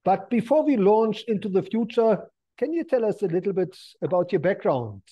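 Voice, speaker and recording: A man's voice: sits high, animated, moderate pace, slightly rough, dull audio. A man hosting an interview, asking polite questions. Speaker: interviewer, host.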